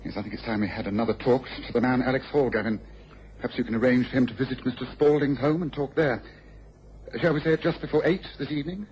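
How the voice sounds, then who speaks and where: An actor playing an incisive, commanding gentleman detective in a radio drama, speaking with crisp authority. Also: medium pitch, fairly even intonation, quick pace, natural voice. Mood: decisive. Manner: assured, crisp, authoritative deductions. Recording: dull, lo-fi old radio recording with faint hiss.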